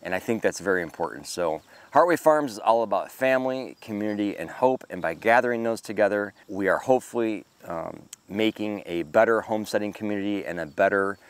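A man in his forties with a smooth, clear, fairly low voice speaks straight into a close camera outdoors among trees. He talks in an earnest, grateful, reflective way, pausing often with ums and uhs as he gathers his thoughts. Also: expressive, no echo. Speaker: homesteading channel host. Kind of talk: casual devotional talk to camera. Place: outdoors in a park-like yard with trees. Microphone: camera close up at arm's length.

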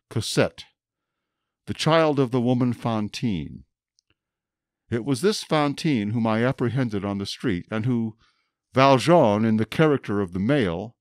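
A stern police inspector acted by a man dictates his notebook in a radio play. His lowish voice is deliberate and formal, with an obsessive edge. The sound is close and dry. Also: no echo. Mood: intent. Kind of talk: radio drama monologue.

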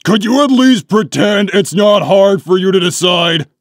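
A man voicing a gruff, hot-tempered, gullible young echidna, blunt and indignant, in a cartoon comic dub. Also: high-pitched, lively intonation, measured pace, slight background noise. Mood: annoyed.

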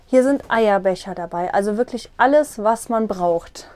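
A woman's voice: medium pitch, lively intonation, moderate pace, a little mellow. A young woman casually showing viewers around a small caravan, explaining as she walks and points, recorded on a lapel mic. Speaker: vlogger giving a tour. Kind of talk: casual room tour.

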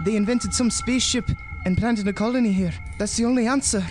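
A man as a high-pitched, eager space crewman. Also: a little fast, over music, close to an everyday voice. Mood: excited, awed. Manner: deferential, excitable. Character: eager, excitable, obedient male spaceship crewman. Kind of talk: radio drama acting.

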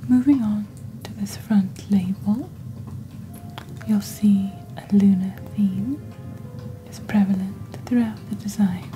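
A woman softly presenting products in a hushed, breathy, gentle and deliberate ASMR style, like a scripted fantasy shopping channel. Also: mid-range voice, soft background music. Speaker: host of a witch-themed shopping channel roleplay.

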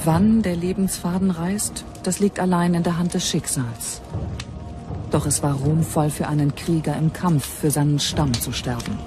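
An actress playing a solemn Germanic tribeswoman who recounts her people's fate in measured documentary drama narration. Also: low voice, fairly even intonation, over music, natural voice. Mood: mournful, proud. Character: Germanic tribeswoman mourning and honouring her fallen chieftain. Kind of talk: scripted first-person docudrama narration.

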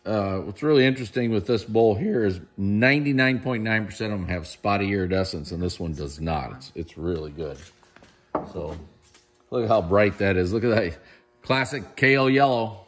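A man's voice: lowish voice, moderate pace. Chatty man showing auction glassware. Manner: enthusiastic, chatty, praises pieces. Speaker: auctioneer presenting lots. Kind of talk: casual walkthrough of auction items. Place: large indoor warehouse room.

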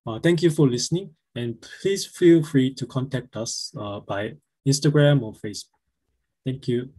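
Husky man presenting with halting fillers. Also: mid-range voice, dark tone, rough recording. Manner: halting, many filler sounds. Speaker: presenter of a housing co-op. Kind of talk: conference presentation over video call. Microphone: video call.

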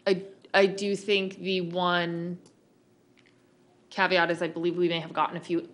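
Slightly low-voiced woman, halting delivery. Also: little pitch movement, a bit bright, a little hiss or hum. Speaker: school board member. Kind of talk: deliberating at a public meeting. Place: public meeting room, indoors.

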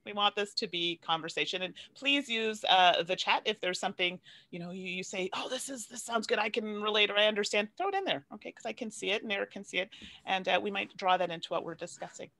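A young woman hosts an online panel over a video call, chatting easily and laughing as she goes. Her voice is bright and swoops up and down a lot, and the sound is close and dry with no room around it. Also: mid-range voice, lively intonation, quick pace, no echo. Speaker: panel host, content creator.

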